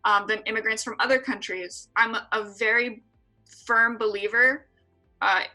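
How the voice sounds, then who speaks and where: A woman's voice: a little high, steady tone, a little slow, sharp tone, soft background music, low-quality recording. A woman in her twenties from San Diego, answering interview questions earnestly with frequent filler words. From San Diego, California. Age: 20s. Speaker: interview guest, EMT.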